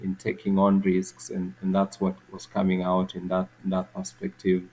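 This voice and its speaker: A man from Kenya with a Kenyan accent, a podcast guest answering questions reflectively, halting with many repeats and fillers. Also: low voice, monotone, darkish tone, slight background noise, low-quality recording.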